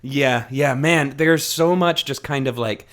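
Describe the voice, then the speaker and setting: A man's voice: mid-range voice, measured pace, fairly bright tone. A man co-hosting a casual book discussion, speaking informally with slang and steering the talk along. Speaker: podcast co-host.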